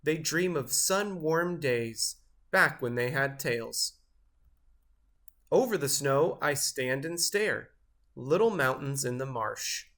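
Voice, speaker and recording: Bright-voiced man reading a picture book. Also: mid-range voice, expressive. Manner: measured, careful phrasing. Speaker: storybook reader. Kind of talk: expressive children's read-aloud narration.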